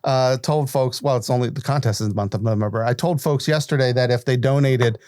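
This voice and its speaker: A man hosting a casual interview, speaking with effusive enthusiasm and pausing to gather his thoughts. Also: mid-range voice, darkish tone, no room sound, clean studio sound. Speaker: podcast host.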